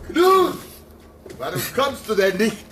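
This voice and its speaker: An actor playing an elderly, fatherly hermit in a German radio play, exclamatory and theatrical, excitable and reverent. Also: sits high, slow, echoey room, recorded from a distance, a crowd in the background, full character voice. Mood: excited wonder. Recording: radio play with background noise.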